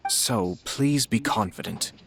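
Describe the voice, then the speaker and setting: An actor playing a refined, shrewd and gracious lord in a video game, speaking formally and without hurry. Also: male voice, on the low side, expressive, creaky, a bit bright, a little hiss or hum, exaggerated voice. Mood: measured, probing. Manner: formal, eloquent, unhurried.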